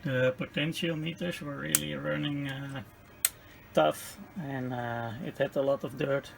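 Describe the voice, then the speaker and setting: A man who is not a native English speaker gives casual hands-on repair commentary as host, halting and thinking aloud in a gravelly voice. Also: mid-range voice, steady tone. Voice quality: gravelly, creaky. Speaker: host of a radio restoration channel. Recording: clearly noisy home-made recording.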